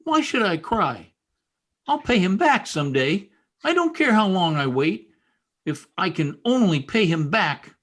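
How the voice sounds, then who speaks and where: A rough, husky voice of an actor playing a brooding young male outcast. The delivery swings widely in pitch, intense and wounded in the old-time radio drama manner. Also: big pitch swings, a little slow, fairly bright tone. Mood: hurt, vengeful.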